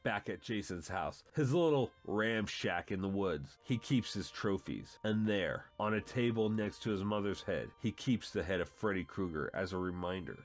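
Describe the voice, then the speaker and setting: A man's voice: deep voice, lively intonation, normal speed, hardly any pauses. A man hosting and narrating a comic book story as a dramatic reading. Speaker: channel host and narrator.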